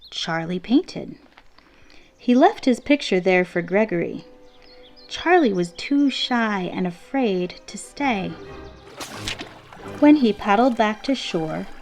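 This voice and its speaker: A woman reading a children's picture book aloud expressively, with measured, deliberate phrasing and emphasis on key words. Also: medium pitch, a little mellow. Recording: classical symphony music playing behind. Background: orchestral classical music.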